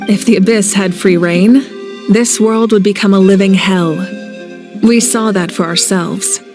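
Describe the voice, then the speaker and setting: A woman's voice: medium pitch, natural voice. A woman playing a grave, composed and knowledgeable leader in a video game.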